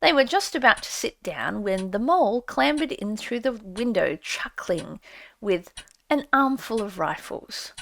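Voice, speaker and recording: A woman's voice: on the high side, expressive, unhurried, vocal fry, a bit bright. Theatrical woman reading a storybook. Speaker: audiobook narrator. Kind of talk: dramatic audiobook reading.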